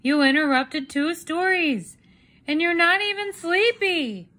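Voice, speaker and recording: A woman's voice: very high-pitched, exaggerated voice. Woman voicing a weary, scolding rooster papa. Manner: slow, sighing, gently scolding. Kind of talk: picture-book read-aloud.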